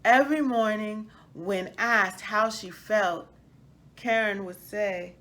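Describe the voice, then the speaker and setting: A woman reading a children's picture book aloud as a storyteller, in a deliberate, expressive manner. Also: medium pitch. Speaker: read-aloud host, storyteller.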